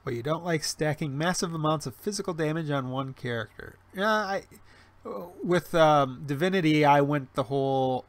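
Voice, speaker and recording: A man in his early forties chats through a casual livestream from home, and his voice sits slightly high for a man. He rambles, restarts his sentences and fills pauses with ums, dropping in dry, self-deprecating jokes. It sounds like a webcam stream microphone. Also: no echo. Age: 40s. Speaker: livestream host. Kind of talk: casual livestream chat reading chat. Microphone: webcam stream mic.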